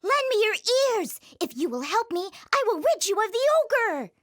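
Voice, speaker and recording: Woman voicing a sly high-pitched tomcat. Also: sing-song, normal speed, a bit bright, no echo, clean studio sound, full character voice. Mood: persuasive. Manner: coaxing and commanding. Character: sly clever tomcat, scheming, bossy male. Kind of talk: storybook cartoon acting. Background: children's music.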